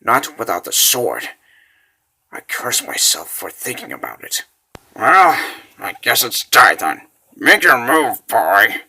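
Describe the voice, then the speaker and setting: A man's voice: medium pitch, very animated, slow, creaky, pushed and bright, breathy edge, voice pushed down, full character voice. A man voicing a weary, brooding older warrior in a comic dub, muttering with self-doubt. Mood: resigned.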